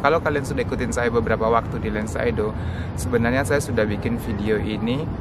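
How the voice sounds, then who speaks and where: A man's voice: medium pitch, quick pace, heavy background noise. Earnest young man telling his story. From Indonesia. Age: 20s. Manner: casual and earnest, with light English asides. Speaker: vlogger. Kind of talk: personal storytelling vlog.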